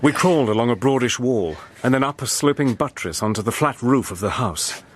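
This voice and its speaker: An actor narrating and playing a brave, level-headed British army major, telling the story in a crisp, steady way. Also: male voice, mid-range voice, brisk, a little hiss or hum, close to an everyday voice. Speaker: narrator and hero. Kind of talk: radio play narration and acting.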